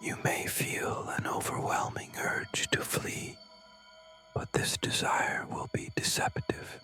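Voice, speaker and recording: A man narrating scripted horror warnings in a breathy whisper, deliberate and ominous. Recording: loud music over the voice. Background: loud music.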